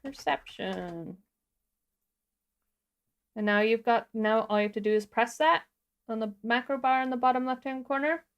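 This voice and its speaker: A woman's voice: fairly high pitch, normal speed, pauses often. A woman co-hosting a livestreamed tutorial, informally instructing and talking through each step as she does it. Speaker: livestream co-host and software instructor. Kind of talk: casual step-by-step live tutorial.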